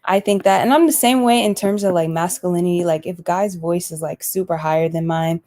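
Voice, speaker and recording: A woman's voice: fairly low pitch, brisk, a little mellow. A young woman on a church discussion panel, speaking hesitantly with lots of fillers.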